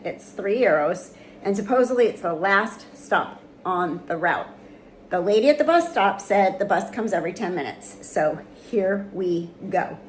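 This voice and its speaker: A woman's voice: mid-range voice, a little hiss or hum, low-quality recording. An American woman in her fifties talking casually to camera while travelling, rambling and correcting herself as she goes. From United States. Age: 50s. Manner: chatty, rambling, self-correcting. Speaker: travel vlogger. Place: outdoors and indoors on a Greek island.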